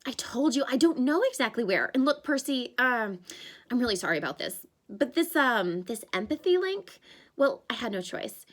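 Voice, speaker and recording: A woman's voice: fairly high pitch, big pitch swings, normal speed, fairly bright tone, pitched above the performer's own voice. A woman voicing a jittery young male satyr who pleads and stammers in a panic. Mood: pleading.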